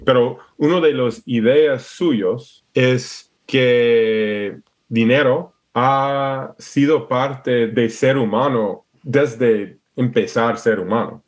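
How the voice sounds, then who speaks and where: A man's voice: mid-range voice, drawn-out words. A man from Alaska speaking Spanish with an American English accent, a guest in a casual interview who restarts often and hunts for words. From Alaska, USA. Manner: hesitant, searching for words with many restarts. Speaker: podcast guest.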